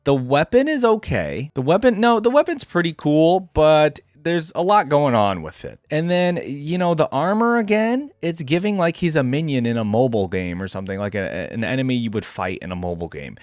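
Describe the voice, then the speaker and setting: A man's voice: fairly high pitch, big pitch swings, moderate pace, few pauses, darkish tone, dry recording, clean studio sound. A man hosting a casual reaction video, thinking aloud informally and hedging his opinions as he comments. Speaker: host of a reaction channel. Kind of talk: casual reaction commentary on game character skins.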